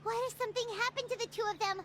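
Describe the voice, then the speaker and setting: A woman voicing a tiny childlike fairy companion in a video game, squeaky, excitable and anxious. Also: rapid-fire. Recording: game audio under loud music.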